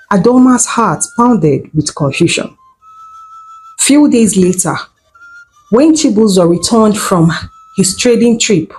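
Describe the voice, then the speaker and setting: A woman's voice: medium pitch, darkish tone, rough recording. A woman telling a folktale as a storyteller, in scripted narration.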